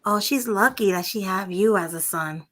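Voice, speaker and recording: A woman co-hosting a casual talk show, chatty and sympathetic, reacting quickly to her guests. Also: mid-range voice, fairly bright tone. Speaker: podcast co-host. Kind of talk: casual chat.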